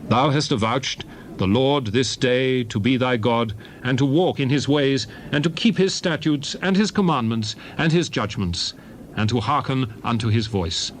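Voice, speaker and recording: A man narrating scripture as a dramatized reading, solemn, measured and declamatory. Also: medium pitch, animated, a little hiss or hum. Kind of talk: dramatized Bible reading in archaic English.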